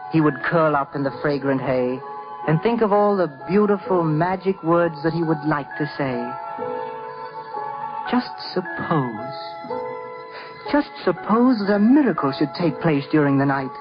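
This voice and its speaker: A man playing a tender, theatrical storyteller, narrating a scripted 1950s radio drama in a deliberate, expressive way. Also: on the high side. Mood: dramatic, sympathetic, then wondering, excited. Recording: dull 1950s radio recording. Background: music.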